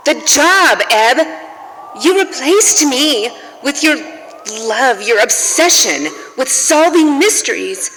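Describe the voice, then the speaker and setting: An actress playing a detective's bitter, wounded former lover in a noir radio drama, deliberate, reproachful and melodramatic. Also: female voice, high voice, sing-song, a bit bright, exaggerated voice. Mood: bitter, hurt. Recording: long hall reverb, faint background noise.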